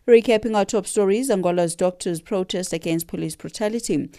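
A woman's voice: mid-range voice, brisk, warm tone, no echo, studio quality. A woman who reads the news and hosts a radio interview in an upbeat presenting manner. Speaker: radio host and newsreader.

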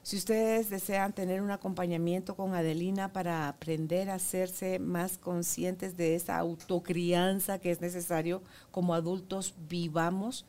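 A woman hosts a relaxed conversation. Her voice is low and gravelly, with a dark, mellow tone, and she chimes in with quick agreements. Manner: warm affirming, many short agreements. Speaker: host. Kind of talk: conversational interview.

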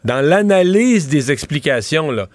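Middle-aged Québécois man, political commentator, rambling talk, slightly high voice, dry close sound. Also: measured pace, no echo. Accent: Québécois French. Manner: rambling, animated, restarts mid-sentence. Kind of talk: casual political analysis chat.

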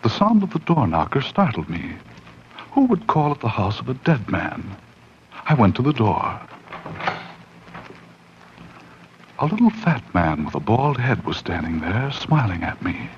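A man's voice: mid-range voice, very animated, moderate pace, a little hiss or hum. An actor in a 1940s radio horror drama playing a wary man who narrates and acts out his tale dramatically. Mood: uneasy. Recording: muffled 1940s radio recording, music behind.

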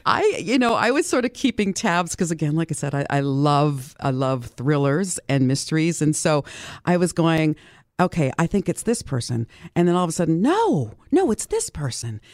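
A woman's voice: deep voice, very animated, moderate pace, dry recording. Woman chatting about books, dry close sound. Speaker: book club participant. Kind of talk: casual book discussion.

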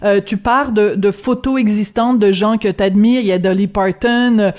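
A woman hosting a radio interview, asking measured questions with a few hesitant fillers. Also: medium pitch, fairly even intonation, a little mellow. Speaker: radio host.

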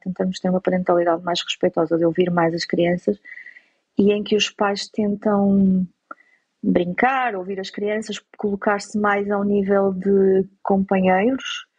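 A husky woman with a European Portuguese accent giving reflective answers as an interview guest. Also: mid-range voice, a little mellow. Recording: dull, lo-fi interview audio.

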